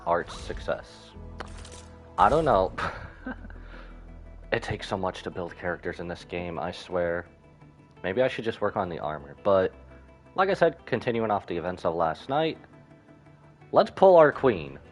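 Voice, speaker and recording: Guy streaming a game, deep voice that moves around a lot, rambly casual chat, bit of music behind. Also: very animated, unhurried, long pauses. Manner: rambling, thinking aloud.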